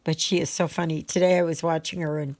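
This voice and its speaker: A woman chatting casually as she does her crafts, rambling in a halting, unhurried way and thinking aloud. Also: sits low, level tone, a little mellow, no room sound. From Canada. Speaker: craft vlogger. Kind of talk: casual rambling chat while stitching.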